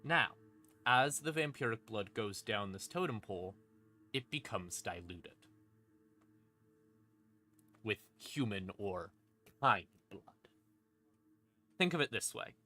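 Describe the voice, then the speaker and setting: A man's voice: lowish voice, animated, slow pace, pauses often, bright, forceful tone. A man casually explaining lore as a streamer, irreverent and sweary, with wry asides. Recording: eerie ambient music behind voice. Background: creepy ambient music.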